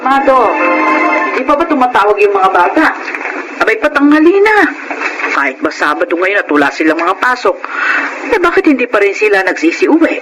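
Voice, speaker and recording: Animated, fretful Filipino mother played by a woman. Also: mid-range voice, a little fast, over loud music, lightly acted, poor audio. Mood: puzzled, fretful. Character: fretful middle-aged mother and wife, questioning. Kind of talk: Filipino radio drama acting.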